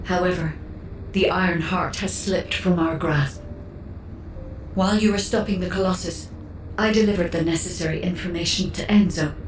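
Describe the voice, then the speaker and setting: An actress playing a composed artificial intelligence guide in a game, speaking in a formal, measured and dutiful way. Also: female voice, on the low side, steady tone, natural voice. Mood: calm, formal. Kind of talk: scripted game dialogue. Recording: distant echoing voice, faint background noise.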